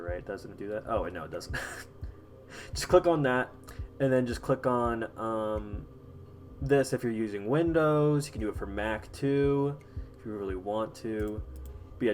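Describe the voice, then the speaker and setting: A man hosting a casual software tutorial, explaining step by step in an informal, halting way. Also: on the low side. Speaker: tutorial host.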